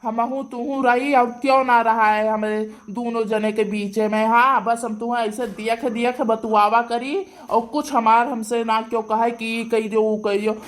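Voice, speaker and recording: A woman's voice: fairly high pitch, steady tone, moderate pace, hardly any pauses, close to an everyday voice. A woman voicing a lovestruck, devoted young man in an amateur cartoon, sweet-talking repetitively with an Awadhi accent.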